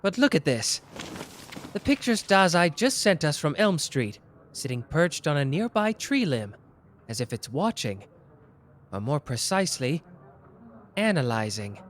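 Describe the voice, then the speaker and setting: A man voicing a sharp, playful young detective in an ASMR roleplay, teasing and quick-witted. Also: on the high side, very animated, slight background noise. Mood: probing. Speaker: voice actor.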